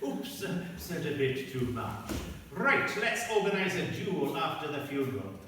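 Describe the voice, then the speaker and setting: Hammy villain king played by a man: high, lively voice, bright, slow and gloating, echoey hall from afar. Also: a little hiss or hum, full character voice. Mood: scheming. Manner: hammy, conspiratorial, gleeful. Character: scheming, gleeful villain king Claudius. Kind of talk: amateur comic radio play acting. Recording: echoey hall, distant mic.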